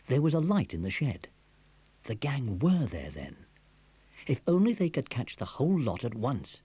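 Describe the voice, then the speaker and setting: A man's voice: mid-range voice, dark tone, breathy edge, no room sound, highs cut off. A man narrating a children's adventure story from a script.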